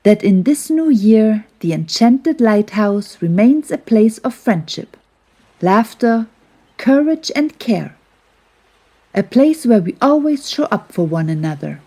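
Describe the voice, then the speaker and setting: A kindly, wise male friend from a storybook, played by a woman, offers calm reassurance. The voice is mellow and slightly husky, with an earnest, unhurried delivery. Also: medium pitch, exaggerated voice.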